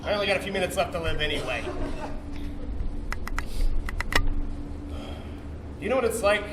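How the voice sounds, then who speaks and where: A man's voice: high voice, expressive, rapid-fire, over a noisy crowd. A man on stage playing a cocky, bitter young sperm cell, telling his story as a slangy, swearing, defensive bro in a dramatic comic monologue. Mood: bitter, defiant. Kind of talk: theatrical comic monologue, confessional storytelling to audience. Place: indoor theatre stage, recorded from a distance. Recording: roomy, distant stage recording with faint noise.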